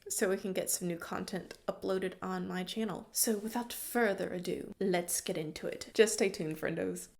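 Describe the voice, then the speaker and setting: A woman's voice: lowish voice, normal speed, creaky. A woman beauty vlogger, congested from a cold, giving chatty, opinionated product reviews in casual slang.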